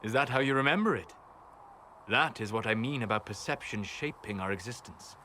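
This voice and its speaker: An actor playing a calm, scholarly mage advisor in a video game, speaking in a measured, deliberate and philosophical way. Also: male voice, mid-range voice, slight background noise, close to an everyday voice. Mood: calm, wry.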